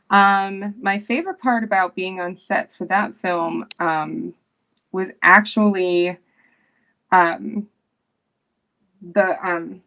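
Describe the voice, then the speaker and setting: A woman, an actress, chatting casually as an interview guest, unhurried and full of fillers and restarts. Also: mid-range voice, fairly bright tone, dull audio.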